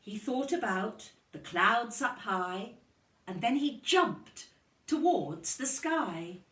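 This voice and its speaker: A woman teacher reading a picture book aloud to children in an expressive storytelling style. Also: fairly high pitch, slow pace. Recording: echoey room, distant microphone.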